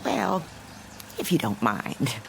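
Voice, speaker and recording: An actress playing a polite, fretful middle-aged neighbor with a prim, hesitant, insinuating manner in a radio drama. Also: female voice, extremely low pitch, very animated, takes it slowly, vocal fry, noisy background, heavily acted. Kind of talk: naturalistic radio drama dialogue.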